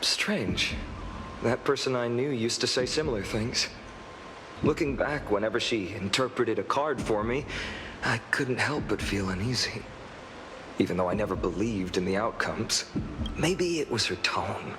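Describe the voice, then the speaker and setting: A man voicing a gentle, thoughtful young hero in a video game, speaking sincerely and reflectively. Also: lowish voice, expressive, a little slow. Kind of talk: English video game voice acting. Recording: long reverb, clear background noise.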